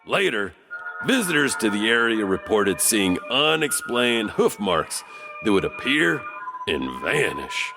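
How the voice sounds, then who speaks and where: A man's voice: fairly low pitch, very animated, takes it slowly, creaky, music in the background, exaggerated voice. A man playing a sly, enigmatic janitor who hosts a horror anthology with theatrical narration. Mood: ominous, sly. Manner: theatrical, chuckling, teasing. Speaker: host.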